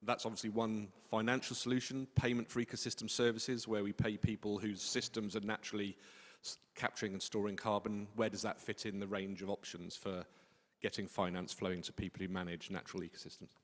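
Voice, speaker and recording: A man in a conference audience asks a long question in a low voice, restarting and rephrasing as he goes. The lecture hall adds a long echo to his voice. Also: little pitch movement, fairly quick. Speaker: audience member asking a question.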